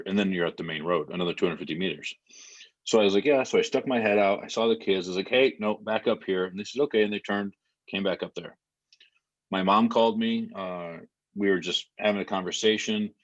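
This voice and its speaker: Deep voiced guy on a podcast, rambly and halting, lots of ums and likes, audio a bit muffled. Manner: rambling, halting, full of fillers and restarts; plain, matter-of-fact storytelling. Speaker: podcast guest. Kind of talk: casual podcast conversation and storytelling.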